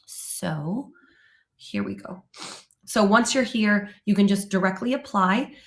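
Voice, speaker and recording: A woman hosting a live informational webinar, her voice still hoarse as she recovers from laryngitis, talking in a relaxed way with many ums and uhs. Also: mid-range voice, takes it slowly. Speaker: webinar host, company presenter.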